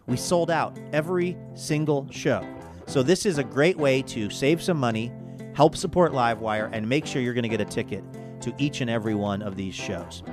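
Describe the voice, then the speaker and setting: A man hosting a radio show, talking in a conversational, enthusiastic way with wry asides. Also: a little high. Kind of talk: upbeat show promo and interview talk.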